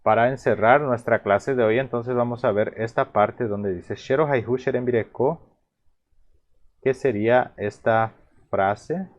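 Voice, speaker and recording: A man from Paraguay with a Paraguayan Spanish accent and a Guarani mother tongue, teaching phrases in a relaxed, halting way with many repeats and restarts. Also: medium pitch, muffled recording. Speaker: language teacher. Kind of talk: explaining vocabulary in a video lesson.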